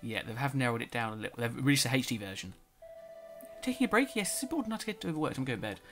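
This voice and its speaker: A man's voice: mid-range voice, very animated, racing, a bit bright. A man giving casual let's play commentary, a bit nasal from a cold, reading game text aloud as he plays. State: slightly nasal, sore throat. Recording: faint music behind.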